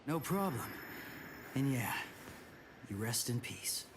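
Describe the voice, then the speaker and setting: A man's voice: medium pitch, sing-song, slow pace, background noise. An actor playing an earnest, matter-of-fact young man in an English-dubbed anime game. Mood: earnest, brooding.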